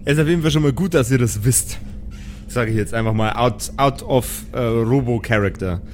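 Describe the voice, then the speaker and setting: A man's voice: medium pitch, normal speed, slight background noise. A man improvising narration as the game master of a tabletop role-playing audio play.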